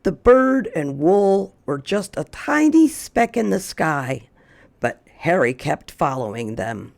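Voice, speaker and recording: A storyteller reading a children's picture book aloud in an expressive way, drawing out words for emphasis. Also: medium pitch, vocal fry. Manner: expressive, slow, drawn-out emphasis. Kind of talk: animated children's read-aloud.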